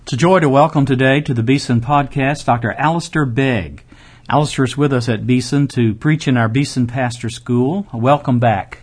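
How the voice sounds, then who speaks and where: A man's voice: medium pitch, normal speed, faint music behind. A man hosting a podcast interview, welcoming his guest and asking questions in a gracious, encouraging way. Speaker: podcast host.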